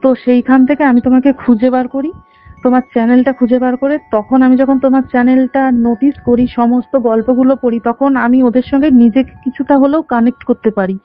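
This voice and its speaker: A woman of about twenty earnestly recounts a personal story in detail on a call. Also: sits high. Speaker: caller telling their own story. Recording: dull band-limited phone call, faint music. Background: faint background music.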